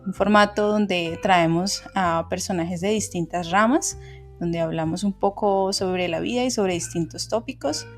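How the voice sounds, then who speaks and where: A woman's voice: fairly low pitch, a little slow, soft background music. A woman hosting a podcast, giving welcoming introductions and asking the guest questions. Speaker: podcast host.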